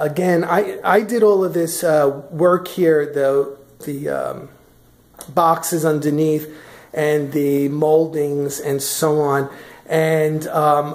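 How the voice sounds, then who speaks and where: A woman's voice: deep voice, warm tone. A woman giving a casual walk-through of her house, chatty and full of fillers as she walks room to room behind a handheld camera. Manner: chatty, many fillers and restarts. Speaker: vlogger giving a house tour. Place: in a large furnished house, walking room to room. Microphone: handheld camera, speaker off screen.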